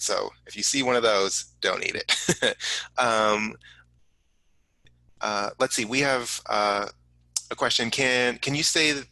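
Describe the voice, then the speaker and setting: Guy hosting a live q&a, crisp bright edge, super dry close sound, kinda halting. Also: on the low side, no room sound, low-quality recording. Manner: halting, reading out audience questions. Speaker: host of a science museum online program.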